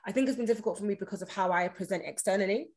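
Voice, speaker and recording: A woman's voice: medium pitch, very fast. Direct, self-assured woman. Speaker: podcast guest. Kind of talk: casual conversation.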